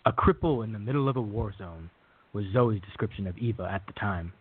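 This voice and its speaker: A man's voice: lowish voice, fairly quick, husky. A man reading scripted third-person narration for a radio drama. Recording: thin, phone-like band-limited audio.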